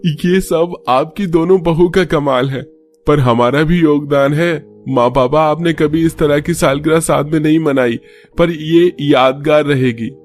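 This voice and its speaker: A man's voice: fairly high pitch, a little slow, soft background music, close to an everyday voice. A man playing a practical, peacemaking son and husband in scripted story dialogue. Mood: proud.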